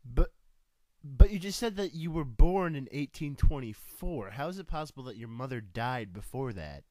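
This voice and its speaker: Radio comedy: prim, flustered male interviewer, played by an actor, stammering, incredulous, very animated delivery, dry sound. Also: medium pitch, normal speed, no echo, lightly acted. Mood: appalled. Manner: stammering, incredulous, primly scolding. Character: flustered, easily offended male radio personality interviewing a guest.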